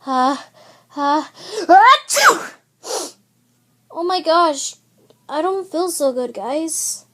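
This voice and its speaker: A woman's voice: high-pitched, expressive, a bit bright, heavily acted. A woman voicing a sneezy sick girl. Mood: unwell. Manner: slow, sniffly, sneezing often. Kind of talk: fan-made toy skit acting. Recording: music playing behind.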